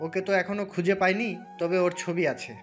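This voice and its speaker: A man playing a concerned, questioning senior navy officer at headquarters in an audio drama, with a brisk official manner. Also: high voice, level tone, natural voice. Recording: music bed under the voice.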